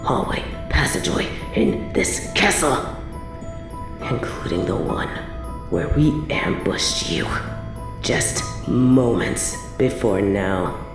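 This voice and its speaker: Evil queen: possessive, cruel, theatrical, unhurried, echoey sound over music, audio roleplay. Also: very deep voice, big pitch swings, full character voice. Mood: gloating, possessive. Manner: theatrical, menacing, mock-tender, drawn-out phrasing. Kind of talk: scripted audio roleplay drama, addressed to the listener.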